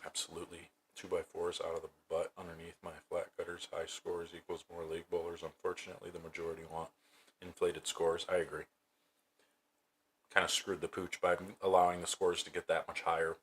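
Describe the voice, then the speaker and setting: A man hosting a casual livestream, giving bowling tips and thinking aloud in a relaxed way. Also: low voice, a little fast, a little hiss or hum. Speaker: streamer and bowling coach. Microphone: new desk microphone.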